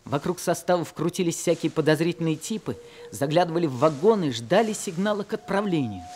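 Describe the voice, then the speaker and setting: Actor as teen boy narrator, high, earnest; old radio drama. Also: fairly quick, darkish tone, slight background noise, close to an everyday voice. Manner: earnest storytelling. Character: teenage boy narrating his story, restless. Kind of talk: 1960s Soviet radio drama acting.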